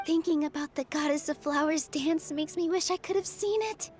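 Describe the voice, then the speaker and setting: A woman voicing a cheerful, earnest young female dancer in a video game, expressive and eager. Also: high-pitched, moderate pace, natural voice. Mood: wistful, hopeful. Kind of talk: video game character acting.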